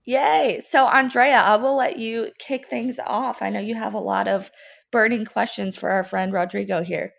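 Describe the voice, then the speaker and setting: A woman's voice: fairly high pitch, fairly even intonation, normal speed, vocal fry. A woman co-hosting a food podcast, upbeat and bubbly as she introduces the show. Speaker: podcast co-host.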